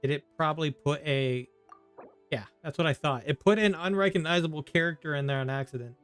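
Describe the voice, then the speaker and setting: A man with a somewhat high voice streams a game in a casual, off-the-cuff way. He rambles along, partly muttering to himself and partly addressing viewers. Music plays behind his voice. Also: a little fast, clear, resonant tone. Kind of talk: casual live gameplay chat.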